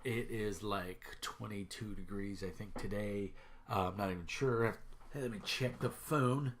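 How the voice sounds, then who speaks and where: A man's voice: fairly low pitch, a little slow, slight background noise. Stuffy-nosed man rambling in a vlog. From Minnesota. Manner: rambling, many fillers and restarts. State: stuffy from a head cold. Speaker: YouTube reviewer of tabletop games.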